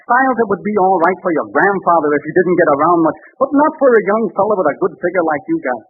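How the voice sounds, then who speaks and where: Fast-talking, pushy New salesman. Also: sits high, lively intonation. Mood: flattering, eager. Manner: slangy, wheedling, insistent patter. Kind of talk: 1930s radio comedy acting. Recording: rough, tinny vintage radio broadcast.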